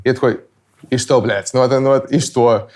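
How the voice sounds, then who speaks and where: A man talks bluntly, stumbling through fillers and swearing freely. His voice sits at a medium pitch. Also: fairly even intonation, fairly quick, a little mellow. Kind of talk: casual chat.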